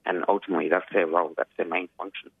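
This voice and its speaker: Aussie guy, croaky gravelly voice, husky, dry phone line sound. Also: low voice, steady tone, racing, dry recording. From Australia. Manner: earnest, emphatic, frequent "you know" fillers. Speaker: activist guest. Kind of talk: radio interview over phone.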